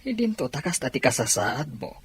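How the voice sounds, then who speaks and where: A woman voicing a kindly, fatherly older man in an audio drama, gentle and reassuring. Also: sits low, sing-song, fairly quick, slightly rough, exaggerated voice. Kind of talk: Ilocano audio story acting.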